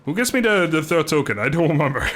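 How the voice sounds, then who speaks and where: A man's voice: a little high, big pitch swings, quick pace, a bit bright, faint music behind. A man casually commentating a video game on a live stream, chatting with viewers in a rambling, good-humoured way.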